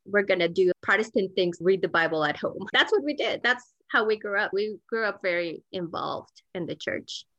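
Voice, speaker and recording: A woman's voice: medium pitch, normal speed, few pauses, fairly bright tone, no echo, highs cut off. A woman from Mexico, a native Spanish speaker, telling personal stories as an interview guest in an informal, candid way. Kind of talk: conversational personal storytelling.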